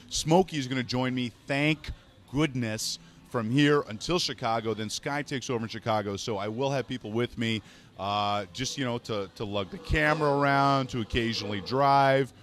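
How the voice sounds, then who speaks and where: A man from Montreal hosts a fantasy sports radio show. He talks briskly and jokes along the way, and his voice is mid-pitched. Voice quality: joking, brisk. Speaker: podcast host. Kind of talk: casual sports radio chat.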